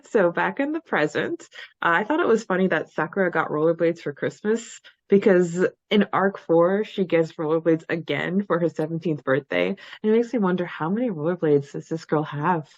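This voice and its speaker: A woman's voice: on the low side, expressive, normal speed, no echo, muffled recording. A woman co-hosting a podcast, chatting casually and conversationally about a book, with a giggly manner. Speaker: podcast co-host. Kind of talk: casual book discussion chat.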